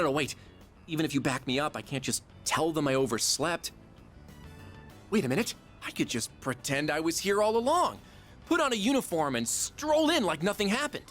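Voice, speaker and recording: Game role: hapless slacker schoolboy, slangy, rambling, lively intonation. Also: male voice, sits high. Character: goofy, hapless teenage schoolboy slacker, pleading with a friend. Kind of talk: casual video game dialogue. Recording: music under the voice.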